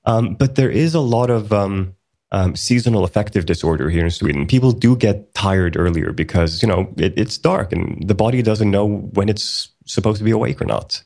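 Low-voiced man explaining with fillers. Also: normal speed, gravelly. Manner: relaxed, explanatory, many fillers and restarts. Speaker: podcast co-host. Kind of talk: casual podcast chat.

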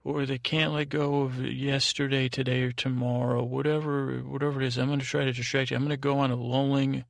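A man hosting a bedtime podcast in a meandering, halting monologue, repeating words and drifting off on tangents. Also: medium pitch, level tone, vocal fry, no room sound, clean studio sound. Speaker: podcast host. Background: music.

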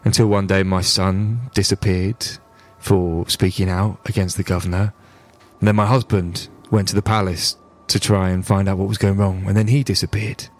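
A steady resistance leader, a big strong woman, earnest and reassuring, as a game master puts her on in a low man's voice. The tone stays plain and sincere. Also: normal speed, natural voice.

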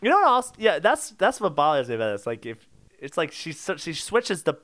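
A man's voice: a little high, sing-song, fairly quick, fairly bright tone. A man co-hosting a comedy podcast, chatting casually in a giggly, mock-outraged way.

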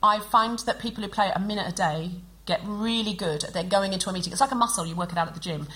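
A woman's voice: mid-range voice, quick pace, fairly bright tone, poor audio. Self-mocking woman hosting a live comedy show. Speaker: comedy podcast host. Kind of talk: stand-up to a live audience. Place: live venue with audience.

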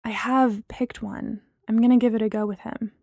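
A woman's voice: fairly high pitch, steady tone, normal speed, gravelly, a little mellow, dry recording, studio quality. A woman voicing a self-doubting young romance heroine in an audiobook, in a plain, hesitant manner. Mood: uncertain. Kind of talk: acted audiobook dialogue.

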